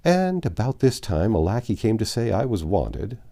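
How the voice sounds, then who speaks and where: Deep, gravelly man reading a story aloud. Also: big pitch swings, normal speed, warm tone. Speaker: audiobook narrator.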